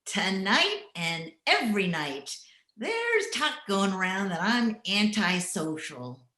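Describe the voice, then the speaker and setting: A woman plays a droll housemaid from an old radio comedy. Her bright voice swoops with animated, teasing wit, and it rings in an echoey room. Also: medium pitch, exaggerated voice. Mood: wry, teasing. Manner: teasing, wry, drawn-out. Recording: echoey room over video call.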